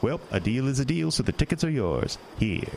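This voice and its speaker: An actor playing a sinister, smooth-talking male carnival showman in a horror audio drama, with a deep, coaxing, theatrical delivery. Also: big pitch swings, a little fast, a little mellow, a little hiss or hum. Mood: sly. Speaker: carnival owner.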